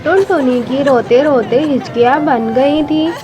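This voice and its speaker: A woman's voice: high-pitched, measured pace. A woman narrating a scripted cartoon story for children with emotive, melodramatic delivery. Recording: dull lo-fi audio with background music.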